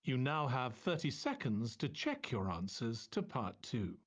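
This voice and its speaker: A man's voice: mid-range voice, huge pitch swings. Formal male exam announcer, varied intonation. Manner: formal, measured. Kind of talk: scripted test instructions.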